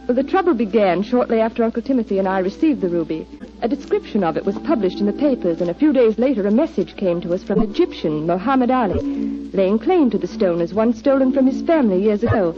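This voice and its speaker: A woman's voice: mid-range voice, lively intonation, fast, natural voice. An actress playing a genteel, anxious young lady client, polite and earnest, in 1940s radio drama style. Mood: earnest, worried. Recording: dull 1940s radio, music behind.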